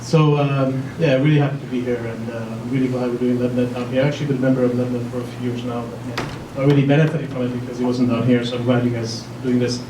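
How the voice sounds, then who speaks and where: A man introducing himself on a conference panel in a friendly, brisk way. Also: medium pitch, level tone. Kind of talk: panel talk. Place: large conference room. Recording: echoey room from far off, noisy.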